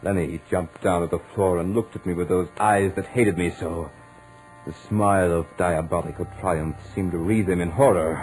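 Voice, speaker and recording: A deep-voiced actor playing a doomed man recounts his terror in a haunted, deliberate way. It is old 1940s radio horror drama, dramatic and grave, with music clearly heard behind him. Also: fairly even intonation, darkish tone, lightly acted, poor audio. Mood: resigned horror. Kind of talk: 1940s radio horror acting, first-person narration.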